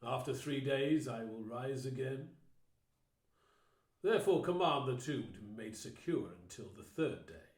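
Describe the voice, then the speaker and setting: A man's voice: mid-range voice, lively intonation, measured pace, a little mellow, close to an everyday voice. A man voicing the chief priests in a dramatised Bible reading, stern and scornful. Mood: scheming. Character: scheming chief priests, grown men. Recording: roomy echo.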